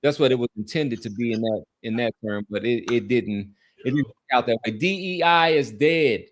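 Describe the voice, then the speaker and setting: A man in casual, reflective podcast conversation, with a mid-pitched voice. He speaks haltingly, repeating words and wandering into asides as he thinks. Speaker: sports podcast panelist.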